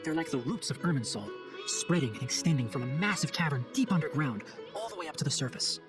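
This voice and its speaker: A man performs an earnest young forest ranger in a game cutscene. The voice sits fairly high for a male and moves quickly, with formal, articulate phrasing and a composed, scholarly manner. Music plays behind it. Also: animated, lightly acted. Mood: earnest, grave. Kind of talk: video game cutscene voice acting.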